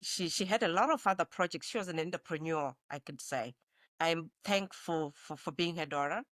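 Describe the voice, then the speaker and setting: Low-voiced Zimbabwean woman. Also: normal speed, a bit bright, no echo, clean studio sound. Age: 40s. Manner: reflective, with frequent restarts. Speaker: guest, nurse practitioner. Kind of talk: conversational interview.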